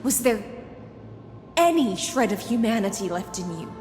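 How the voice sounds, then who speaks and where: A woman's voice: mid-range voice, big pitch swings, normal speed, close to an everyday voice. An actress playing a loyal female knight commander in a video game, grave and reproachful, speaking with restrained accusation. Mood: bitter, betrayed. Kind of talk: video game drama dialogue. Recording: echoey reverberant hall, music behind.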